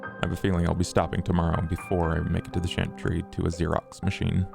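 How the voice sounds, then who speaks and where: A man with a gravelly voice doing improvised tabletop roleplay and narration in a measured, halting delivery. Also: low voice, completely flat delivery, music in the background. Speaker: player in a tabletop roleplay podcast.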